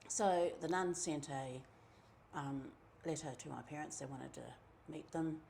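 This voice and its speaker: A middle-aged woman from New Zealand with a New Zealand accent, telling her own story in a relaxed, chatty way, with many ums and asides. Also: low-pitched, measured pace, vocal fry, a little hiss or hum. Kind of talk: casual personal storytelling.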